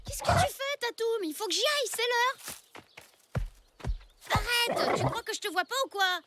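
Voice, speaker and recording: Une voix d'enfant très aiguë. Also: female voice, very high voice, normal speed. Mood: scolding. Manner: expressive, exclamatory, childlike. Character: lively young prehistoric child, affectionate and easily exasperated. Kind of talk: animated cartoon voice acting in French. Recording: music behind the voice.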